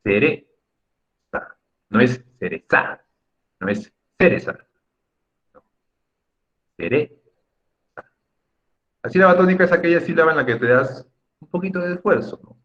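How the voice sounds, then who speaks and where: A man's voice: a little high, lively intonation, a little slow, pauses often, a bit bright, dull audio. A man teaching a class in an emphatic, didactic way, repeating key words and checking in with short tag questions. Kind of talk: Spanish lesson on accent rules for students.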